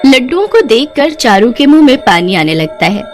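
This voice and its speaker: Woman reading a scripted cartoon tale, highish voice, bit of music behind. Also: very animated, moderate pace. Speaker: story narrator. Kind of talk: scripted Hindi cartoon storytelling narration.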